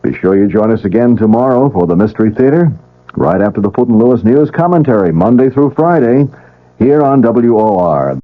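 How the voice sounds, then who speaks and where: A man's voice: mid-range voice, lively intonation, brisk. A man reads scripted station announcements. His voice sits in a medium range, coming through a narrow, muffled old radio broadcast.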